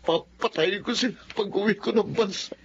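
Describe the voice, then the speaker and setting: A man's voice: high-pitched, normal speed, a bit bright. A man playing a brooding, hot-tempered betrayed Filipino husband in radio drama style. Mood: anguished rage. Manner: brooding, intense. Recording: dull audio with background noise.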